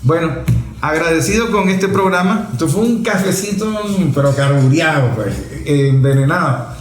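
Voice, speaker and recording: A man's voice: a little high, normal speed, very echoey, rough recording. A man hosting a radio talk show, eagerly agreeing and finishing his guest's sentences. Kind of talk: casual political chat.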